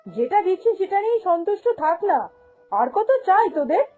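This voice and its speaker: A woman's voice: very high voice, big pitch swings, fairly quick, mellow, faint music behind. A woman voicing a greedy, domineering, haughty female shop boss in a cartoon, scolding and dismissive. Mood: angry, contemptuous. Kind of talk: cartoon fairy-tale voice acting.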